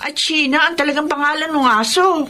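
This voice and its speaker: A woman's voice: sits high, steady tone, a little fast, pushed and bright, slight background noise. An actress playing a chatty, concerned village woman in a Filipino radio drama, matter-of-fact, with a Filipino accent. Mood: informative, concerned.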